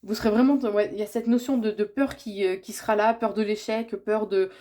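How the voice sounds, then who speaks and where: A woman's voice: medium pitch, fairly even intonation, brisk, warm tone. A woman with a cold giving a yearly horoscope reading, hesitant and full of fillers and restarts, relaying what she says she is told. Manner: speaks as if receiving messages. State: has a cold, congested. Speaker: tarot reader.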